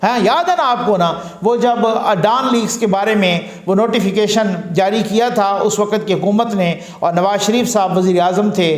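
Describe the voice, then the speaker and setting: A man's voice: sits high, reverberant. A man from Pakistan delivering a political commentary monologue, earnest and rhetorical, building his points through repeated phrases. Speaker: news commentator.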